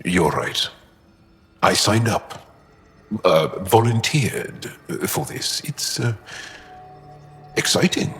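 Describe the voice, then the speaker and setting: A man playing an earnest, guarded alien warrior and tinkerer in a video game, speaking haltingly and thoughtfully. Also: lowish voice, very animated, a bit bright, exaggerated voice. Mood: thoughtful, candid. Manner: halting, thoughtful, measured delivery. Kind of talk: video game dialogue acting. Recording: reverberant room with music behind.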